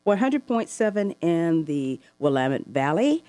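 A woman hosting a call-in radio talk show, asking long, thoughtful questions with soft laughs. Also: low-pitched, big pitch swings, measured pace, dry recording. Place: radio studio.

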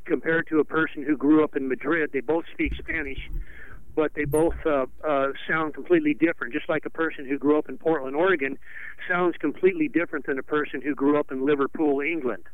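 A man's voice: on the high side, fairly even intonation, fairly quick. A man calling in to a radio show, rambling and indignant, with many restarts. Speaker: radio caller. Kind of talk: call-in radio complaint. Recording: phone line.

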